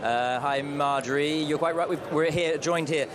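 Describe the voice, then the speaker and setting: A man's voice: on the high side, moderate pace. A man interviewing a guest at an expo stand, hesitant and full of "uh" fillers. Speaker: event interviewer. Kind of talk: on-site interview. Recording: clear street noise behind.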